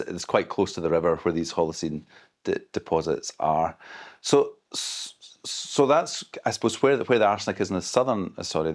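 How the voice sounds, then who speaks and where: Scottish guy, deep-ish voice, careful measured expert explaining stuff in an interview. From Scotland. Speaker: expert guest, hydrogeologist.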